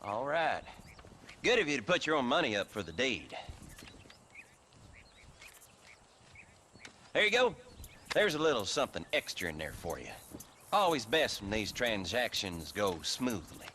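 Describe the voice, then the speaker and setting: Bright-voiced, animated frontier schemer. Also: male voice, mid-range voice, moderate pace, a little hiss or hum. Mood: businesslike, pleased. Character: cunning, jovial frontier land schemer in a western game. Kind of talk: dramatic video game acting.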